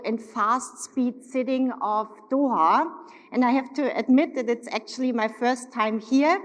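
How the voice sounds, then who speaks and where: A woman in her forties from Germany with a German accent, giving an upbeat, emphatic keynote speech on a stage and slowing down to stress key words. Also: a little high. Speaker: corporate executive keynote speaker. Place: large hotel ballroom. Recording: long reverb of a large hall.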